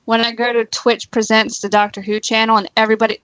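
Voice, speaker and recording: High-voiced woman on a dull lo-fi connection. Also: flat delivery, fast talker, a crowd in the background. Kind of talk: casual chat on a live stream.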